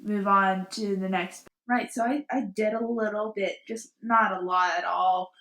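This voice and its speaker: A young woman with a hoarse voice from a cold, casually talking through a craft tutorial from her bedroom. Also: mid-range voice, fairly even intonation, a bit bright, low-quality recording. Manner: slow, rambling, many fillers. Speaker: cosplay vlogger. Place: bedroom at home.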